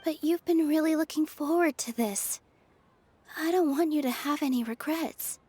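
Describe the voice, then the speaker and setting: A woman's voice: high voice, moderate pace, mellow, natural voice. A woman voicing a sweet, caring young dancer in a video game, with a light, earnest delivery. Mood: apologetic, dismayed. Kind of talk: video game character dialogue.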